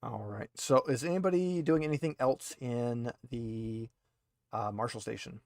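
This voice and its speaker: A man's voice: medium pitch, unhurried, gravelly, no room sound, studio quality. A man acting as game master, narrating a tabletop roleplaying game in a conversational, hesitant way.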